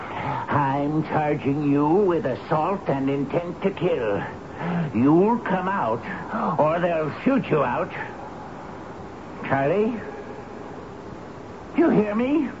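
A stern bossy threatening man in an old radio drama, slow blunt delivery, dull hissy sound. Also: fairly high pitch, animated. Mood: angry, threatening. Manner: blunt, commanding. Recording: muffled, noisy old radio broadcast.

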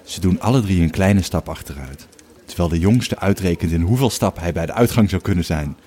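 A man narrating a scripted story in a measured storytelling manner. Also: low voice, expressive, dark tone, breathy edge.

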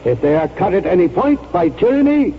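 An actor playing a stubborn, pompous retired colonel in his sixties, speaking deliberately in a 1940s radio drama. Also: high voice, big pitch swings, slight background noise. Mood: resolute, orating. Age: 60s or older. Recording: dull vintage radio broadcast, faint music.

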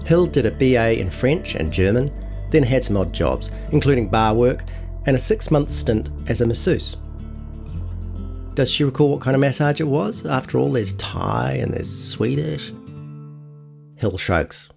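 A man's voice: medium pitch, animated, measured pace, vocal fry, a little mellow, faint music behind. A man reading a scripted feature article aloud as narrator, acting out quotes as he goes. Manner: acts out quotes within narration. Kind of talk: scripted audio long-read narration.

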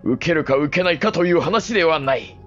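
An actor playing a cold, middle-aged samurai patriarch in a period audio drama, speaking in formal, commanding lordly phrases. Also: male voice, on the high side, animated, fairly quick, a bit bright, muffled recording, soft background music.

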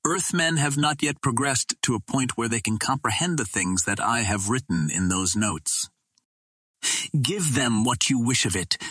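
A man reading an old adventure novel as an audiobook narrator, in a measured, dramatic storytelling manner. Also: medium pitch, fairly bright tone, husky, no echo.

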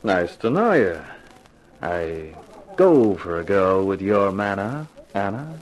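Wry hard-boiled radio detective. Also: male voice, low-pitched, big pitch swings, slow, heavily acted. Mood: cool, wisecracking. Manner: wry, slangy, tough. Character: hard-boiled wisecracking male private detective narrating his case. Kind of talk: 1950s radio detective drama acting. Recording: noisy vintage radio recording.

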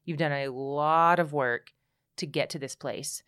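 Woman with a really low voice, podcast guest, talks candid and lively, dry sound. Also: measured pace, clear, resonant tone, no echo. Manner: candid, animated storytelling with many restarts. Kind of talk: casual conversation.

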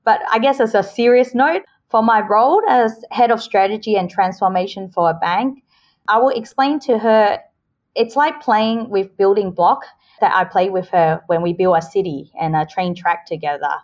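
A woman's voice: mid-range voice, moderate pace, a little mellow. Upbeat woman guest on muffled audio. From Hong Kong, raised in Australia. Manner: earnest, upbeat, explains with homely examples. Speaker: podcast guest. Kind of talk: casual interview answers.

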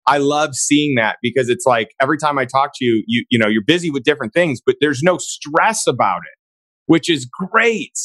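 Raspy, hoarse male host, mid-pitched, emphatic and upbeat, recorded dry. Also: animated, moderate pace, a bit bright, no echo. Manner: emphatic, enthusiastic. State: hoarse from days of talking. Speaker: podcast host. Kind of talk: casual interview chat.

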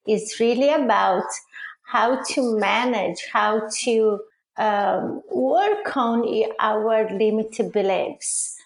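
A middle-aged woman from Brazil with a Brazilian accent, answering interview questions haltingly as she searches for words. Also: fairly high pitch, creaky. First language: Portuguese. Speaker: podcast guest, leadership coach. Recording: roomy, echoing sound.

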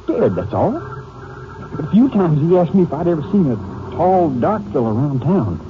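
A man's voice: a little high, sing-song, moderate pace. A man playing a defensive, evasive young small-town brother in an old-time radio drama, speaking in a prickly, folksy way. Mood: uneasy, evasive. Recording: tinny, noisy old radio recording.

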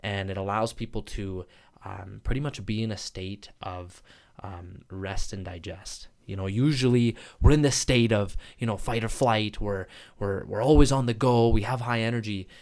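A man opens a podcast episode in a loose, enthusiastic monologue, his voice sitting on the lower side. He rambles, stacks up fillers and stumbles over words now and then, then laughs it off and keeps going. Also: moderate pace, a little mellow. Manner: chatty, upbeat, rambling with slips and fillers. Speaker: podcast co-host. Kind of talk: casual podcast intro monologue.

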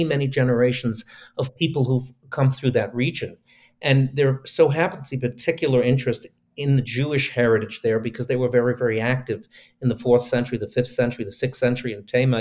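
A man's voice: medium pitch, a little mellow. A man speaking as an interview guest, earnest and discursive as he explains his views on business and culture. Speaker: venture capitalist guest.

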